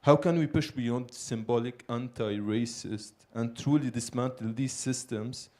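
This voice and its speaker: A man's voice: mid-range voice, unhurried. A young man from Lebanon asking a polite, carefully worded question from the audience at a lecture. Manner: soft-spoken, polite, careful. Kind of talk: question from the floor. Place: lecture hall.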